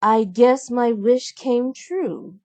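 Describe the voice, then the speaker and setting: Kind, wistful young heroine for a children's storybook, played by a woman. Tender, earnest, unhurried. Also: a little high, a little mellow, pitched above the performer's own voice. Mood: tender, happy. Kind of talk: children's storybook read-aloud.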